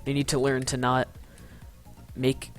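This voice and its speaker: A man's voice: medium pitch, completely flat delivery, a little slow, soft background music. A young man in his teens chatting casually on a live stream, stuttering and restarting often as he bluntly roasts commenters. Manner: stuttering, rambling, with frequent restarts.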